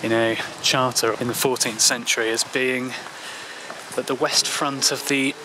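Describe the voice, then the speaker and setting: A man in his thirties giving a casual, halting walking commentary as a travel vlogger, speaking outdoors into a handheld camera. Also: mid-range voice, flat delivery. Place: outdoors in a park and old town streets. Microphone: handheld camera at arm's length. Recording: clear outdoor ambient noise.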